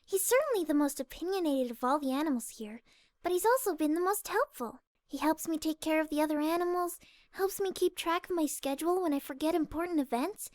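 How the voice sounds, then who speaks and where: A woman voicing a shy, gentle young female pegasus pony in a fan audio drama, timid, hesitant and soft-spoken. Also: high voice, a little fast, dry recording, close to an everyday voice. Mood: gentle, content.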